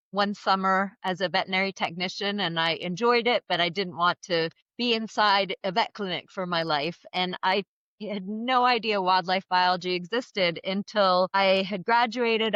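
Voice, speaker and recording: A woman's voice: mid-range voice, fairly bright tone, clean tone, no room sound, muffled recording. A woman who grew up in Switzerland and Vermont, a wildlife biologist guest talking earnestly and at length about her path, over a remote call. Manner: earnest, articulate, recounts at length. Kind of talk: reflective storytelling in conversation.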